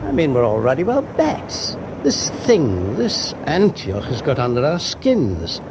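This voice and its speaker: An actor playing a wry, loyal first officer in a wartime naval radio drama, speaking in articulate, understated phrases. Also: male voice, mid-range voice, huge pitch swings, unhurried, background noise. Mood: wry, musing.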